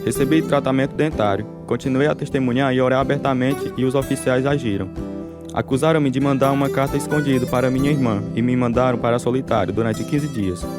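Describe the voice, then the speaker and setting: A persecuted, devout husband in prison , played by a man, recounts his ordeal in a Brazilian accent for a radio drama. His voice sits at a middling pitch over clearly heard guitar music. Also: fairly even intonation, fairly quick, close to an everyday voice. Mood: grave, suffering. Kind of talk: dramatized radio testimony narration.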